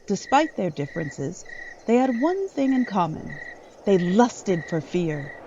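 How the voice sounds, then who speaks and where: A woman's voice: medium pitch, very animated, unhurried, warm tone. A woman narrating a horror audio drama from a script. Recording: slightly dull audio, faint noise. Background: night crickets and frogs.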